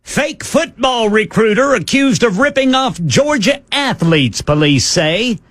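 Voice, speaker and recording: High lively voice, guy on talk radio reading a news bit, dry mic. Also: a little slow, no room sound. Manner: animated, wry storytelling. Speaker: radio talk show co-host. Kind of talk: reading a news story and chatting.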